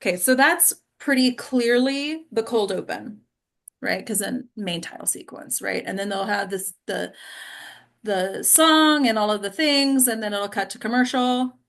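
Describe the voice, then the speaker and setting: A woman's voice: on the high side, animated, a little slow, fairly bright tone. A woman teaching and hosting an acting class, talking casually to a small group and often checking in with questions. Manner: conversational, peppered with check-in questions like "right?".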